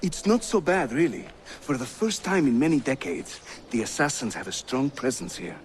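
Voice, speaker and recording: A man playing a lively, jovial Turkish-accented assassin. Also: mid-range voice, moderate pace, slight background noise, natural voice. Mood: wry, reassuring. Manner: lively, teasing, theatrical storytelling delivery. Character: jovial, witty Turkish assassin leader, a welcoming male guide. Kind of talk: video game character acting. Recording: loud music behind the voice.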